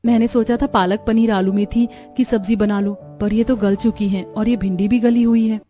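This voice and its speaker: A woman's voice: mid-range voice, fairly even intonation, moderate pace, warm tone, faint music behind, natural voice. A woman voicing a sweet, eager young village bride in a cartoon story. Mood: troubled.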